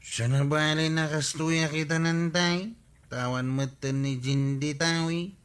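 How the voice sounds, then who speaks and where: A man's voice: fairly high pitch, steady tone, unhurried, a bit bright, clean tone. An actor playing a hapless, lovelorn, apologetic village man with an Ilocano accent and a whiny, pleading drawl, in a radio comedy drama.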